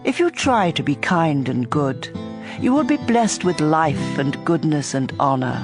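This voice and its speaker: Unhurried scripture reader over music. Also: medium pitch, very animated. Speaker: audio Bible reader. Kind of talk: measured scripture narration. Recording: music bed under voice. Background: instrumental music.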